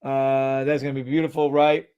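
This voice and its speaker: A middle-aged man hosting a live trading stream, calling out orders in quick bursts with brash, profane commentary. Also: mid-range voice, little pitch movement, mellow, smooth, no echo, highs cut off.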